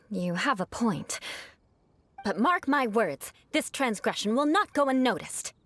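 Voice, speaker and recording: A woman's voice: on the high side, animated, unhurried, a bit bright. A woman voicing a proud young noblewoman knight in a video game, with a formal, haughty manner. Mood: haughty, offended. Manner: haughty, formal archaic phrasing.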